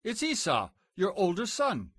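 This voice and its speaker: A man's voice: sits high, huge pitch swings, measured pace, a bit bright, breathy edge, no room sound, clean studio sound, pitched above the performer's own voice, full character voice. A man voicing a grown hunter son, desperate and pleading, in a strained voice.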